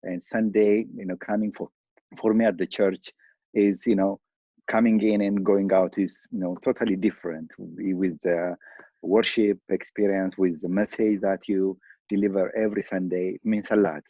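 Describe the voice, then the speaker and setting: A middle-aged man from Ethiopia with an Ethiopian accent, a pastor talking in a podcast interview in an earnest, halting way with many fillers. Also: low-pitched, flat delivery, no room sound, lo-fi sound. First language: Amharic.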